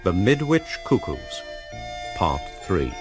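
A man with a fairly low, varied voice makes formal radio drama announcements, with music playing behind him. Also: big pitch swings, takes it slowly.

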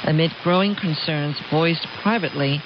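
A man with a fairly high voice reads scripted news as a radio presenter. His delivery is formal and measured, phrase by phrase. The shortwave broadcast is dull and lo-fi, with noise clearly over it.

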